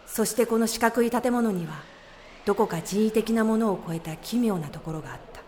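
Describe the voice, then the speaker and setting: A woman reading scripted narration for an audio drama in a measured, solemn storytelling manner. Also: a little high, darkish tone, a little hiss or hum.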